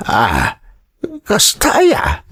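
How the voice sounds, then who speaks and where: A man performs a concerned old father in an Ilocano drama. His low, husky voice has an Ilocano accent and moves hesitantly and very slowly, cautioning like a parent. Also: huge pitch swings, fairly bright tone, full character voice. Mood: doubtful, resigned. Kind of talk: Ilocano radio-style drama acting.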